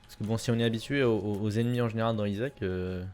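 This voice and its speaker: Gamer guy, lowish voice, easygoing play-along commentary at his desk mic. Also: fast, smooth, faint music behind. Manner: relaxed, rambling, many fillers and repeats. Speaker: gaming YouTuber. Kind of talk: casual gameplay commentary. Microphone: desk mic at a gaming setup.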